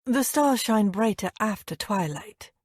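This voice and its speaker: A woman's voice: medium pitch, lively intonation, measured pace, breathy edge, no room sound. Woman voicing a dry, brooding, curt man. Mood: murmured, curt. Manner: dark, dry, murmuring. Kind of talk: audiobook character dialogue.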